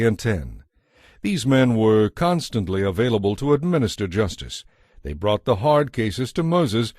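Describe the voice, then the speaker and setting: A man narrating a dramatized Bible from a script in a measured storytelling manner. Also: mid-range voice, darkish tone, no room sound, studio quality.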